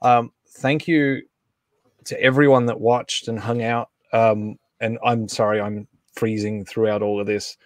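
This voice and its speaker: A man's voice: fairly low pitch, level tone, measured pace, no echo. A man casually hosting a live stream chat on a group video call.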